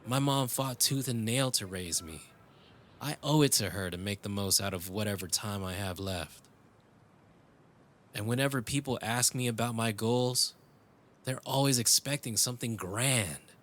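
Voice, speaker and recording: A man's voice: mid-range voice, sharp tone, a little hiss or hum. A man playing a world-weary, tender cyberpunk dreamer in love, speaking intimately and reflectively in a romantic audio roleplay. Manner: intimate, reflective, switching into Tagalog phrases.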